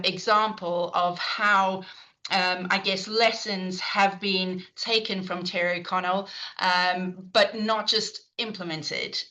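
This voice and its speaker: Session chair: South African woman, middle-aged, lowish bright voice, chatty with fillers, heard over a video call. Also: poor audio. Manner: warm, chatty, frequent fillers and restarts. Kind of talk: introducing speakers at an online talk.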